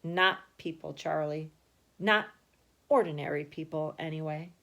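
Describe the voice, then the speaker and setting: A woman's voice: deep voice, gravelly, pushed and bright, voice pushed down, heavily acted. Woman acting a secretive old grandfather storyteller. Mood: hushed, mysterious. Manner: slow, dramatic pauses for suspense.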